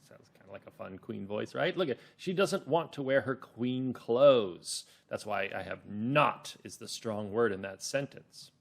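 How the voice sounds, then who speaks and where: Guy teaching little kids a read-along story, lively up and down voice, explains stuff simply. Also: mid-range voice, normal speed, creaky, few pauses. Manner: expressive, simple explanations for young children. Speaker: teacher leading children's reading lesson. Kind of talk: read-along lesson for children.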